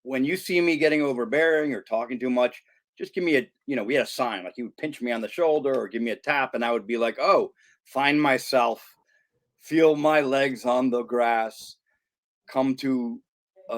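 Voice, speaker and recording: A man's voice: mid-range voice, moderate pace. A man speaking as a guest in a casual interview, reflective and anecdotal, often restarting his sentences. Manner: reflective, storytelling, frequent restarts. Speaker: author and youth sports guest.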